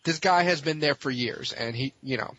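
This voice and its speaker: A man with a forceful, rough-edged voice gives his opinions as a podcast guest. He is blunt and emphatic, pressing his listeners in casual speech. The recording is dry and somewhat dull. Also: on the high side, normal speed, no echo. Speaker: podcast guest, gaming tournament organizer. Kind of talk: impassioned casual opinion talk.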